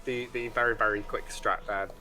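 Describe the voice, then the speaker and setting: A man's voice: on the low side, fairly even intonation, brisk, bright, forceful tone, soft background music, rough recording. A man giving easygoing, casual commentary on a speedrun, explaining the tricks and laughing often.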